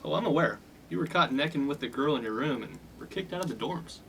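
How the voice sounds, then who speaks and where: A man's voice: medium pitch, lively intonation, quick pace, creaky, fairly bright tone, slight background noise. A man playing a cocky, blunt young fraternity upperclassman with a chip on his shoulder in a comic radio play. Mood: brusque. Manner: blunt, slangy. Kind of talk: 1950s-set comic radio play acting.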